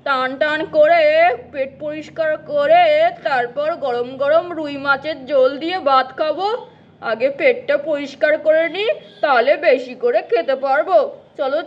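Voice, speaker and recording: Cartoon grumpy old grandfather doll, played by a woman: high-pitched, bossy, always scolding and ordering people about. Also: muffled recording, lightly acted. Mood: muttering to self. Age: older. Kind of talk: puppet doll cartoon acting.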